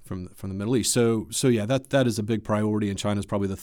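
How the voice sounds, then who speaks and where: A man speaking as an expert guest in an interview, explaining in a measured, conversational way. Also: lowish voice, steady tone, a little mellow, no room sound, clean studio sound.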